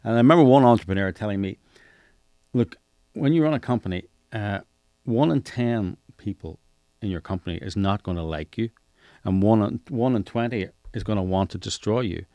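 A middle-aged man talks as an interview host. His voice is on the low side and recorded very dry. He is conversational and emphatic, repeating phrases to stress his point. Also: normal speed, dark tone, dry recording. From Northern Ireland. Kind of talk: casual conversational interview talk.

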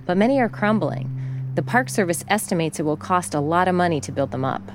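A woman reporter with a lower voice narrates a radio feature and puts measured, probing questions to people. She is interviewing them outdoors, and faint traffic hum sits under her voice. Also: animated. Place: outdoors by a flooded park, traffic nearby.

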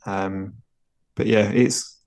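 Low-voiced man, measured and hesitant. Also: fairly even intonation, creaky, darkish tone. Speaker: regular podcast panelist. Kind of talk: casual sports podcast chat.

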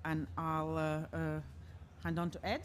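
A woman's voice: low-pitched, monotone, unhurried. A woman from Latvia moderating a live panel discussion, speaking with frequent hesitations and filler sounds. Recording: live event recording, faint background noise.

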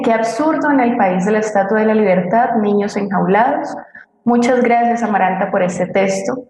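A woman's voice: medium pitch, a little mellow. Woman co-host in an echoey room. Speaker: co-host reading audience comments. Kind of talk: radio theatre forum, reading listener messages. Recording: roomy, echoing voice.

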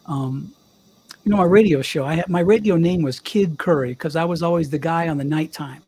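Older male guest, youthful slightly high voice, husky edge, lively storyteller. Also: a little fast. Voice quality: young-sounding voice. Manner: chatty, animated storyteller. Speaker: guest, former radio DJ. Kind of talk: casual storytelling interview.

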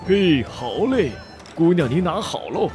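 A man's voice: on the high side, very animated, measured pace, darkish tone, soft background music. A man playing a cheery, obliging street vendor in an audio drama, hawking in a singsong manner.

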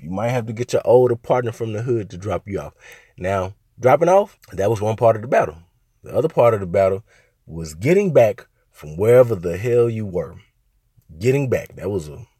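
A young man from Arkansas hosting a casual solo podcast, talking in a laid-back, rambling way with lots of fillers, his voice a little hoarse from a cold. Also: fairly low pitch, dark tone, dry recording, studio quality. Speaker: podcast host.

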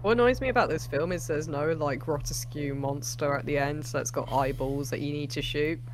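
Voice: mid-range voice, moderate pace, noisy background. A co-host chatting casually with wry, mock complaints while reacting to a film. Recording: film soundtrack music behind. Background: film being watched, music.

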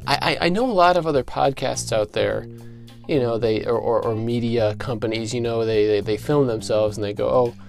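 Midwestern guy doing a solo comedy podcast, creaky voice, stammers a lot, mock-serious and self-important, bit of music behind. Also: mid-range voice, darkish tone. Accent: Midwestern American. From American Midwest. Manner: halting, stammering delivery with repeated words. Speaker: podcast host.

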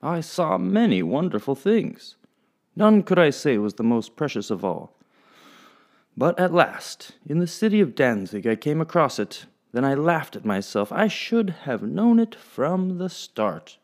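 Man doing a storybook sea captain, earnest, declaiming, raised high and loud. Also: sing-song, a little slow. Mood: proud. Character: earnest grown sea captain, proud and joyous.

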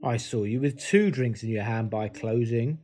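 A man's voice: mid-range voice, moderate pace, warm tone, natural voice. A man playing a hapless, oversharing political staffer, hesitant and glum, in a comedy drama. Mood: earnest, meek. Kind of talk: comedy audio drama dialogue.

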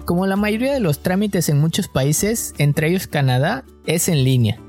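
A man with a Mexican coastal accent co-hosts a casual podcast. He tells stories in a relaxed, slangy way. Faint music plays behind him. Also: fairly high pitch, moderate pace. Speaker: podcast co-host.

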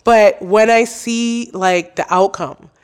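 A woman's voice: medium pitch, fairly even intonation, slow, smooth. A young adult woman, a podcast host being interviewed, talking casually and openly with lots of "like" and "you know.". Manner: chatty, many "like" and "you know" fillers.

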